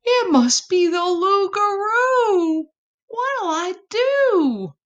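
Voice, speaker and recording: Woman doing a little possum, high, animated, dramatic, timid yet boastful, slow storybook delivery. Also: highs cut off, full character voice. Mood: frightened gasping. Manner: breathless, exclaiming, dramatic.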